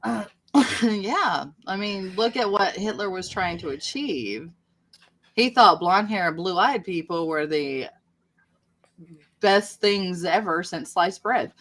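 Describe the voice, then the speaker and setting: Woman, average pitch, informal livestream chat, conversational delivery. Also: takes it slowly, fairly bright tone. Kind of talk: casual livestream chat, at times reading text aloud.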